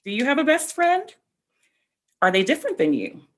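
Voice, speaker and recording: A woman reading a picture book aloud to children, explaining things simply with asides and questions in a slightly husky voice. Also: sits high, moderate pace. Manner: expressive, explaining simply and addressing young listeners with questions. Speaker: read-aloud host for children.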